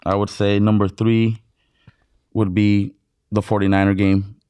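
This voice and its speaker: Smooth-voiced man telling excited stories. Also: fairly low pitch, measured pace, dry recording. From Mexicali, Baja California, Mexico. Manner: excited storytelling, heavy filler words, swearing. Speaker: DJ guest. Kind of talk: casual interview chat.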